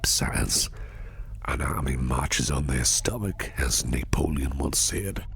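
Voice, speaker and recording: A man playing a sinister, flirtatious crime boss in an intimate, breathy, half-whispered ASMR roleplay, teasing and silkily menacing. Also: expressive, a little hiss or hum, full character voice. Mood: flirtatious, smooth. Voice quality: very deep, gravelly, breathy. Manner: slow, silky, menacing, teasing.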